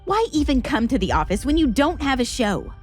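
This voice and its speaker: Woman acting a high-voiced, snappy cleaner. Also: sing-song, fairly quick. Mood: annoyed. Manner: snappy, contemptuous. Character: rude, irritable office cleaner. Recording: music under the voice.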